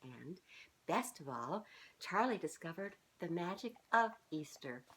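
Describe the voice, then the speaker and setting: A middle-aged woman reading a children's storybook aloud in an expressive, sing-song way, as if speaking directly to young listeners. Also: on the low side, a little slow, a little hiss or hum, poor audio. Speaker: children's author reading her own book aloud. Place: small living room, on a couch indoors.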